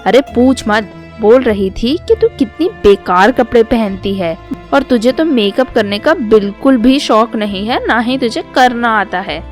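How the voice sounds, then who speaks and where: Woman voicing a sly gossipy young daughter-in-law, high voice. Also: measured pace, faint music behind, natural voice. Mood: feigned innocence. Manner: gossipy, feigning innocence.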